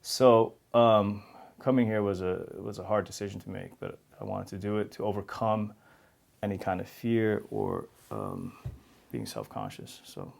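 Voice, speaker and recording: Interview guest: New man, low gravelly voice, candid and easygoing, laughs through anecdotes. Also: expressive, a little slow. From New York City. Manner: candid, laughs while telling stories. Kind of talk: casual interview conversation.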